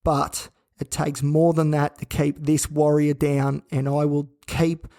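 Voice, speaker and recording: A man hosting a solo podcast from a hospital room, his voice croaky and worn out, talking flatly and wearily with rambling restarts. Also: fairly high pitch, a little slow. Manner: flat, weary, rambling with restarts. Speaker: podcast host. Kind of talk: candid solo podcast monologue. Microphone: own recording gear.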